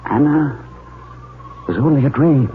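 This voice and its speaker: An actor playing a fearful, suspicious doctor from a space crew in a 1950s radio drama. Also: male voice, medium pitch, unhurried, natural voice. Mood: tense reasoning. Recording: tinny, faintly noisy 1950 radio recording.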